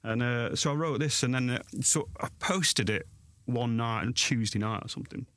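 A man's voice: medium pitch, normal speed, a bit bright, no room sound. A man in his forties from Sheffield with a South Yorkshire accent, chatting as a guest in a casual interview, self-deprecating and rambling with lots of restarts. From Sheffield, England. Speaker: guest author and blogger.

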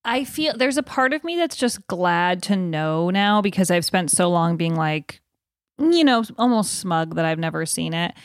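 A woman's voice: fairly low pitch, animated, normal speed, no room sound, studio quality. A woman with an American accent chatting casually as a podcast co-host.

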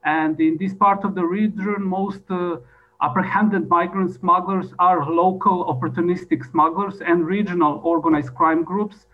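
A man with a fairly high voice gives a formal presentation in English as a second language. His phrasing is halting and repetitive. The recording is dull and lo-fi. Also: steady tone, slight background noise. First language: not English. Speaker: presenter at an expert conference. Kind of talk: formal presentation, partly improvised. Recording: dull lo-fi audio.

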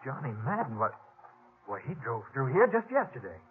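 Earnest young cowboy played by a man, animated intonation. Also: medium pitch, moderate pace, natural voice. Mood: surprised. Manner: folksy, polite, drawn into explanations. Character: earnest, easygoing young stagecoach relay station hand. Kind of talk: 1950s radio western acting. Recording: tinny, hissy 1950s radio recording.

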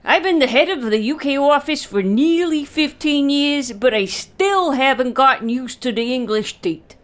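A woman's voice: high-pitched, animated. A woman playing a brash, foul-mouthed middle-aged American office boss in an audio drama comedy, blunt and emphatic. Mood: condescending, brisk. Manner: blunt, emphatic, drawn-out delivery.

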